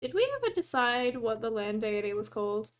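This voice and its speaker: A woman's voice: fairly high pitch, big pitch swings, a little fast. A young Australian woman in casual chat, giggly and self-mocking, muttering at her screen as she types. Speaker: co-host. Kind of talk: casual worldbuilding chat while typing.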